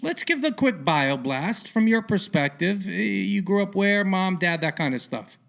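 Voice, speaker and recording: A man hosting a casual podcast interview in a colloquial, theatrical way with punchy, emphatic phrasing and a gravelly voice. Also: high-pitched, lively intonation, measured pace, fairly bright tone. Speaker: podcast host.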